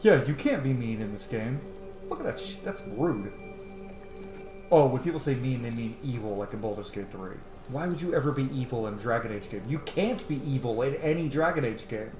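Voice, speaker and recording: Male let's-player: casual, chatty, rambling asides, echoey room, soft music behind. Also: mid-range voice, animated, a little fast. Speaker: gaming streamer, let's-player. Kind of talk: casual gameplay commentary. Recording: roomy echo, faint game music. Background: faint game music.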